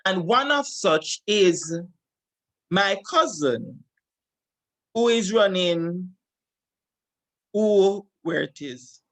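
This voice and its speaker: A man giving a history lesson over slides, slow and repeating himself as he corrects his points. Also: high-pitched, expressive, a bit bright, poor audio. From Jamaica. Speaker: teacher.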